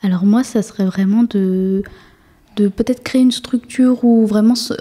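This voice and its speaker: Young woman in her 20s, interview guest; monotone, hesitant, restarts a lot. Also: mid-range voice, moderate pace. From France. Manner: hesitant, many fillers and restarts. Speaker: guest, municipal councillor. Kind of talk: casual interview chat.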